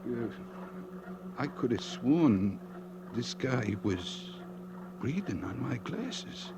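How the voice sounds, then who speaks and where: A man with an American accent playing a squeamish, rattled morgue worker in a halting, jittery 1940s radio style. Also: mid-range voice, very animated. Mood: uneasy, halting. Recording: noisy old radio drama recording.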